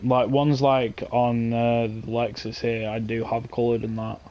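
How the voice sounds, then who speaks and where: A man's voice: mid-range voice, level tone, unhurried, dull audio. A young man with an English accent, chatting casually and offhandedly with viewers while hosting a game stream. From England. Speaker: streamer hosting a car meet. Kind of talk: casual gaming stream chat.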